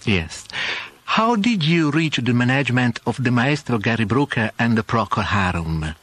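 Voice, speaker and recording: An Italian man with an Italian accent hosting a live radio interview in a halting, polite way. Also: mid-range voice, big pitch swings, a bit bright. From Italy. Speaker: radio host. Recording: orchestral music under radio talk. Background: orchestral music.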